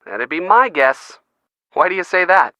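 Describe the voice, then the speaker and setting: Supportive sidekick friend, forceful bright tone, animated, teasing, encouraging, mid-pitched, adventure game dialogue, dry recording. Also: unhurried, no room sound, muffled recording. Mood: intrigued, teasing.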